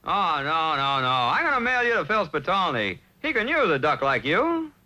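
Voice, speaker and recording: Shrill, fussy cartoon cook. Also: male voice, moderate pace, exaggerated voice. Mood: exasperated. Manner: clipped broken English, animated. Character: fussy Chinese palace cook, easily exasperated. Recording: dull old TV cartoon soundtrack.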